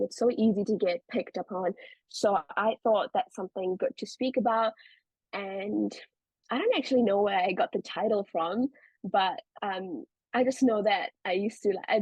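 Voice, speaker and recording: A young woman with a South African accent talks easily as a podcast guest, loose and chatty, with plenty of fillers. Her voice is a little husky and sits at a middle pitch. The sound is dry and close. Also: darkish tone, no echo, highs cut off. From India. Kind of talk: casual interview chat.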